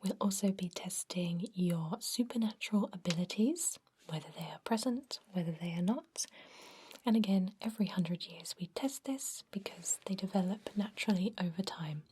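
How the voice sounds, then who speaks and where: A woman whispering softly and reassuringly in an ASMR roleplay as a kindly vampire doctor. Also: medium pitch, slight background noise. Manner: soft, reassuring, unhurried whisper. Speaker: ASMR performer playing a doctor.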